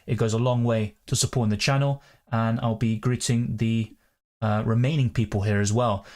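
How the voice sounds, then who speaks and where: A young man chatting casually on a live stream, rambling and reading out chat messages. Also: mid-range voice. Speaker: fantasy football streamer.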